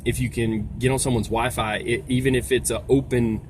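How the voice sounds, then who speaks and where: A man's voice: mid-range voice, little pitch movement, brisk. A man giving a casual, folksy explainer as a vlog host, talking in a rambling way. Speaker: video host, trucker. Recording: noisy, vehicle-like background sound.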